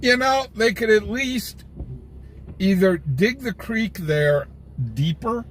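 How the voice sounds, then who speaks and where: A man's voice: high voice, takes it slowly, a bit bright, poor audio. A middle-aged American man chatting casually with livestream viewers in a folksy, joking way from inside a vehicle. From United States. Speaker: livestream host, independent scanner chaser. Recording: live raw stream with vehicle noise. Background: faint vehicle and ambient noise.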